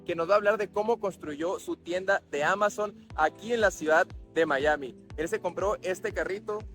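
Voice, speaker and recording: A man from Latin America hosting a podcast, upbeat and quick as he opens the show and asks his guest questions. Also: high-pitched, fairly bright tone, soft background music. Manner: upbeat, quick intro patter. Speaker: podcast host. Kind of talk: podcast interview.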